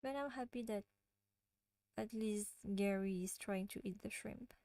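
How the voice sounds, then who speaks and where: A woman's voice: mid-range voice, a little slow, dark tone, no room sound, studio quality. A woman from France with a French accent, hosting a casual livestream and chatting with viewers, often giggling. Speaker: livestream host.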